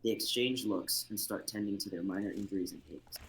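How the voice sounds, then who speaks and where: Casting: young male narrator for screenplay read-through, fairly low voice, home livestream with faint noise. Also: a little fast, a bit bright, rough recording. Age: young adult. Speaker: host and narrator.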